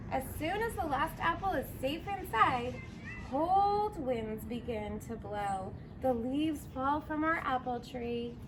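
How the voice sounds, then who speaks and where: Woman, high-pitched, expressive children's read-aloud, drawn-out words, outdoor backyard recording, clearly noisy background. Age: 30s or 40s. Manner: expressive, drawn-out words, simple questions to young listeners. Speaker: teacher reading a picture book to children.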